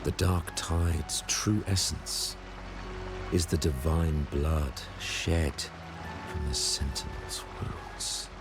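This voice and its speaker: A very deep-voiced, solemn high priest. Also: male voice, fairly even intonation, full character voice. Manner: grave, measured, pious oratory. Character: solemn, devout male religious leader, zealous and commanding. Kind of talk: dramatic video game voice acting. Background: prominent background music.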